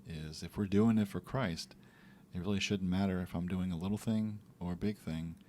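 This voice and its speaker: A man co-hosting a podcast, talking in a relaxed, reflective way about faith and daily life, sometimes acting out little quotes. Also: deep voice, moderate pace, a little hiss or hum. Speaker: podcast co-host. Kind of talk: casual faith talk.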